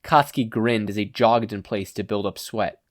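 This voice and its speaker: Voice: mid-range voice, steady tone, moderate pace, no room sound, clean studio sound. A person reading fan fiction aloud as narrator, in a scripted storytelling style. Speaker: podfic reader, narrator.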